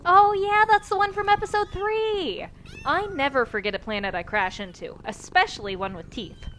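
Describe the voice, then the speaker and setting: A woman playing a thrill-seeking young space pilot in a sci-fi radio comedy, excitable and gushing. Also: very high voice, moderate pace. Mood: chirpy. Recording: clear background noise.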